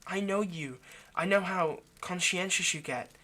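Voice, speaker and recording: A man voicing an ageless vampire lover in an intimate audio roleplay, possessive yet remorseful, speaking in a halting, earnest, pleading way. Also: high voice, pushed and bright. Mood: anxious, earnest. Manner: halting, earnest, pleading, dropping to near-whisper.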